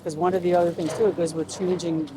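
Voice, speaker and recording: Woman, civic official in interview, methodical explainer, recorded outdoors with clear traffic noise. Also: on the low side, level tone, fast. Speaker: city council member. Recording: noisy outdoor street with vehicles. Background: urban outdoor and vehicle noise.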